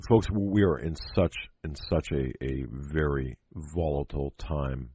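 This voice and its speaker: A low, husky-voiced American man delivers a political radio monologue in a dull, close and dry recording. He speaks emphatically and haltingly, repeating phrases and posing rhetorical questions to make his case. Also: dark tone, dry recording. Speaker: talk show host.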